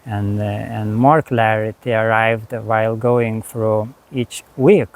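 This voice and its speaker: A man with a Lithuanian accent, a spiritual teacher talking slowly and haltingly to camera about his course, with many pauses and restarts. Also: fairly low pitch, steady tone. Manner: unhurried, halting, frequent fillers and restarts. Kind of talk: reflective monologue.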